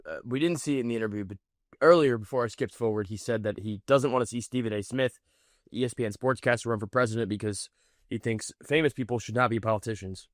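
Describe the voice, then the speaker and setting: A man's voice: medium pitch, quick pace, dry recording, studio quality. A man hosting a political reaction video, commenting in an indignant, mocking way. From United States. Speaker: commentary host.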